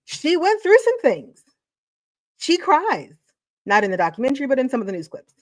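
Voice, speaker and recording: A woman's voice: high-pitched, huge pitch swings, moderate pace, no room sound, clean studio sound. A woman educator in her forties or fifties giving emphatic, motivational video commentary, repeating phrases for effect. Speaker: educator and channel host.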